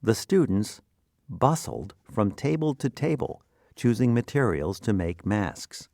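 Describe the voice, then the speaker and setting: Slow, expressive male lesson narrator. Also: lowish voice, vocal fry, no echo, clean studio sound. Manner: deliberate, instructional enunciation. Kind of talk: scripted educational narration.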